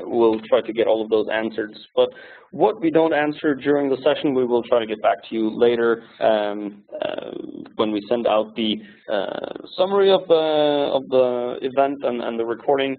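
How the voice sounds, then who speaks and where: A man hosting an online webinar, speaking in a halting way with many ums and uhs. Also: medium pitch, gravelly. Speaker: webinar host. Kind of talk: webinar introduction. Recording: tinny phone-like audio.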